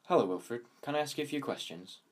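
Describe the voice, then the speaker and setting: Guy doing pushy cop interrogator, radio drama, pointed questions, lively pitch swings. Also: fast talker, close to an everyday voice. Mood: pressing, suspicious. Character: insistent, suspicious male police interrogator. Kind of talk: amateur radio drama acting.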